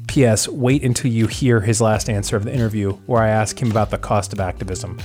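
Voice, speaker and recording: A man's voice: lowish voice, brisk, darkish tone, soft background music. A man hosting an interview podcast, reading an earnest introduction and then chatting with his guest. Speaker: podcast host.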